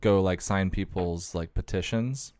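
A man's voice: low-pitched, unhurried, darkish tone, dry recording, clean studio sound. A man co-hosting a comedy podcast, joking and rambling in casual chat. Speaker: podcast co-host.

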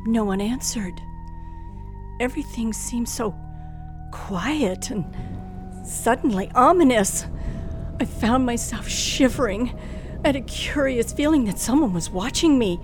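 Frightened, slow-spoken radio thriller heroine. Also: female voice, a little high, expressive. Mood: tense, hushed. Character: nervous, frightened young woman driver, narrating her ordeal. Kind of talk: old-time radio thriller acting and first-person narration. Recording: vintage radio drama with music underneath.

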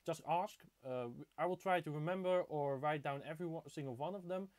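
A man's voice: fairly high pitch, fairly quick, darkish tone. A 19-year-old man from the Netherlands casually hosting a live hobby podcast, stumbling a little over his words. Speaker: podcast host.

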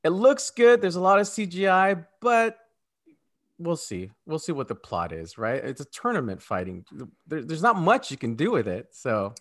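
Animated man co-hosting, highish voice. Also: moderate pace. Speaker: podcast co-host. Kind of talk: casual opinionated podcast chat.

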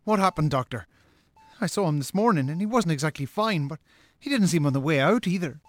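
An actor playing a farmer's worried, blunt younger brother with an Irish accent in a naturalistic radio drama. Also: male voice, a little high, animated, brisk, no room sound, close to an everyday voice. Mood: worried, shaken.

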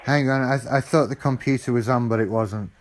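A man chatting casually on a phone call, acting slow, apologetic and easily confused. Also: medium pitch, steady tone. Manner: feigned slow, apologetic and muddled. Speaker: call recipient stringing a scammer along. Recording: phone call recording with faint noise.

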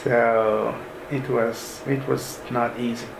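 A man in his thirties from Sudan, softly recalling his move abroad in an unhurried way with many pauses. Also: mid-range voice, level tone, creaky. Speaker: interviewee. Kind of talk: casual personal recollection. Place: room in a mosque. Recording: echoey room with ambient noise.

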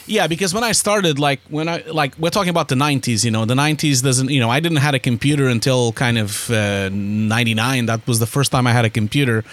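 A man speaks as a podcast guest, recounting his past in an animated, rambling flow full of restarts. His voice sits at an ordinary middle pitch for a man. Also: fast talker, hardly any pauses. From Portugal. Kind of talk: casual interview chat.

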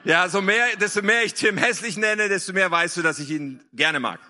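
Man, sermon interpreter; higher, bright voice, earnest, restates phrases. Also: moderate pace. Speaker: interpreter and scripture reader.

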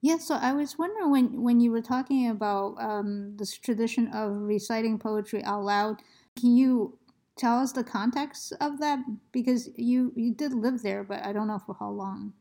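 A woman hosting an interview, asking questions slowly and thoughtfully with frequent hesitations. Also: a little high, warm tone. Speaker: interviewer host. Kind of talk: conversational interview.

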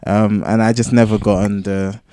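A man with a fairly low voice talks casually as a podcast co-host. He rambles through his points and gets animated when debating. The sound is dry, with very little room in it. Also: moderate pace, no room sound. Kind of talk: casual chat.

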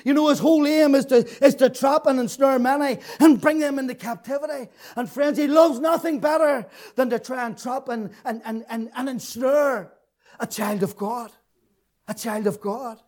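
A man preaching an impassioned sermon, repeating his phrases, swinging from shouted peaks to hushed, tearful lows. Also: steady tone, normal speed, few pauses. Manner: fervent, repetitive, rising to shouts then dropping low.

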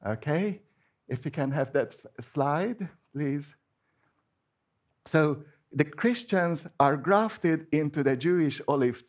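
Man, Hungarian accent, sermon, informal, restarts and fillers, fairly high voice. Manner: chatty, hesitant, many restarts and fillers. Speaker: preacher.

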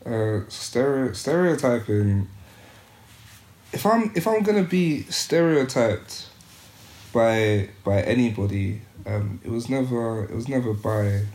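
A man's voice: medium pitch, lively intonation, takes it slowly, a little mellow, echoey room. A young man from the United Kingdom, a youth worker, talking reflectively as a podcast guest with many restarts and fillers. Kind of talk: casual interview conversation.

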